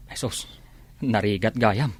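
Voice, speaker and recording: A man's voice: lowish voice, slow, breathy edge, no room sound. A man playing a hesitant, reflective bachelor chatting in a drama. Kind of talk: drama dialogue.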